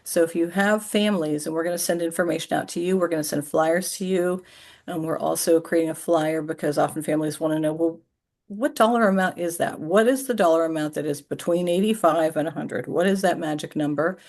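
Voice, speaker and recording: A woman's voice: low voice, fairly quick, creaky, a little mellow. A woman presenting in a webinar, earnest and reassuring, repeating key points for providers. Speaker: early learning coalition staff presenter.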